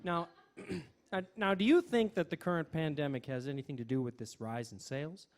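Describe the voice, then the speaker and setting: A woman's voice: deep voice, brisk. A woman playing an earnest local news reporter doing an interview in a radio comedy sketch. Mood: puzzled, probing. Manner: animated announcing, hesitant questioning.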